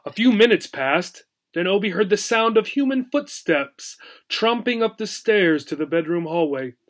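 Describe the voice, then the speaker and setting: A man with a notably high voice reads a children's story aloud as a teacher. His telling is expressive and explanatory, pitched for young learners. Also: moderate pace. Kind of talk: children's story read-aloud with simple recap.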